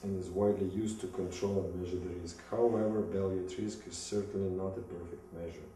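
A man in his thirties from Russia with a Russian accent, giving a halting, rehearsed presentation in English from notes. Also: deep voice, little pitch movement, mellow. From Moscow, Russia. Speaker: business school applicant. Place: small indoor room against plain wall. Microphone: distant camera mic. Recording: echoey room, distant mic, some noise.

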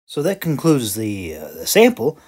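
A man who narrates and shares his own audiobook stories, talking with deliberate, broken phrasing and pauses. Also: medium pitch, huge pitch swings, a little mellow, breathy edge. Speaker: audiobook narrator and author-creator. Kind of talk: scripted story narration, then casual thanks to listeners.

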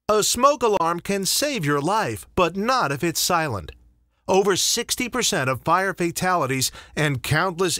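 High, lively male announcer. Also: no room sound, clean studio sound. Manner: polished announcer delivery. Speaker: voice-over artist. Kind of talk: scripted commercial and instructional narration.

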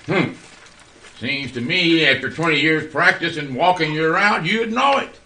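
A man acting as a pompous, fussy postal supervisor in a radio drama. His voice is very sharp and forceful, sitting a little high. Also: lively intonation, unhurried, heavily acted, poor audio. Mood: reproving. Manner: pompous, nitpicking. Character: officious, fussy boss at the postal service.

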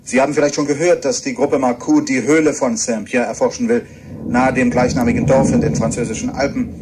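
An eager, courteous field reporter played by a man in a radio play presents with slightly formal, chatty politeness. His mid-pitched voice sits in clearly noisy location ambience. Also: fairly even intonation, fairly quick, lightly acted. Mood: courteous, presenting. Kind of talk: radio drama acting as live reportage.

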